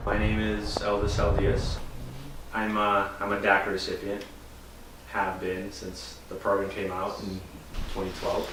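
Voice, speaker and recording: A young man from Bolivia, telling his story in an interview in a measured, explanatory way. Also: lowish voice, monotone, noisy background. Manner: measured, explanatory, some restarts. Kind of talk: calm explanatory testimony. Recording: echoey room, mic at a distance.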